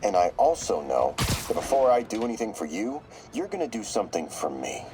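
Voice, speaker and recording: An actor playing a shrewd therapist, measured and probing in a video game audio log. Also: male voice, fairly low pitch, very animated, noisy background. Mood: cold, assertive. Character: shrewd male therapist, measured, clinical, quietly calculating.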